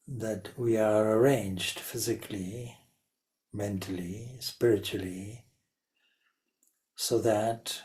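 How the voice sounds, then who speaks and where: A man leading a guided meditation as a spiritual teacher, speaking deliberately in short phrases with long pauses. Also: on the low side, darkish tone.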